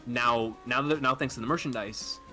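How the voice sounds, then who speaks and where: Bright-voiced man, rambling fan talk. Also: medium pitch, soft background music. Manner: enthusiastic, halting and rambling. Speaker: podcast speaker discussing manga theories. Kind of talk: casual fan discussion.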